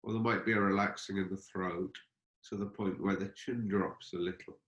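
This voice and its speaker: Low-voiced man guiding meditation, roomy sound. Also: fairly even intonation, lo-fi sound. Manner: unhurried, gentle, exploratory phrasing with pauses. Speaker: meditation teacher. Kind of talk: guided meditation instruction. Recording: roomy, echoing space.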